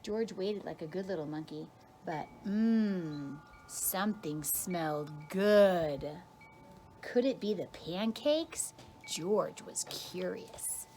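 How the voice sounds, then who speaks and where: A woman's voice: fairly low pitch, slow pace, warm tone, faint music behind. A woman teacher reads a children's picture book aloud expressively, drawing out key words for emphasis. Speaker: kindergarten teacher reading aloud.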